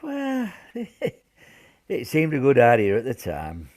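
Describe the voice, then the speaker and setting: A man's voice: medium pitch, wildly swooping pitch, slow, a little mellow. A man playing a sheepish but reassuring wartime grandfather in an amateur radio play, hesitant and repeating himself. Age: older. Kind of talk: amateur radio drama acting.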